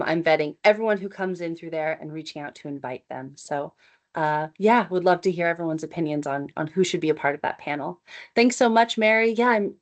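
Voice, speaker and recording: A woman, presenting at an online meeting in a chatty, upbeat, inviting way over a computer mic. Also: lowish voice. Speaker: event organizer presenting. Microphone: computer mic on video call.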